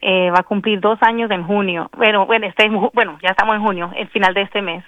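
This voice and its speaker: A woman's voice: medium pitch, steady tone, fairly quick, no echo. Young woman, Colombian accent, guest; polite, dull dry call audio. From United States. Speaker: guest singer.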